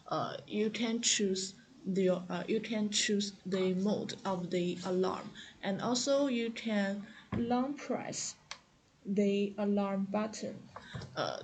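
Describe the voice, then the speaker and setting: Slow, halting woman demonstrating a product. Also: mid-range voice, a little hiss or hum. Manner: halting, hesitant delivery with frequent repeats and fillers.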